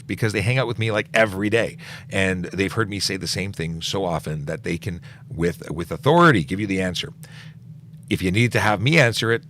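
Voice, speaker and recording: A man's voice: fairly low pitch, a bit bright, a little hiss or hum. A middle-aged man, a police officer, answering viewer questions on a casual livestream, stopping to restart his sentences and filling gaps with "uh" as he goes.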